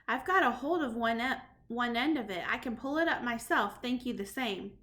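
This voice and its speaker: A woman's voice: fairly high pitch, lively intonation, normal speed. A woman reading aloud, voicing a loving, composed elderly grandmother who speaks tenderly. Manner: warm, affectionate.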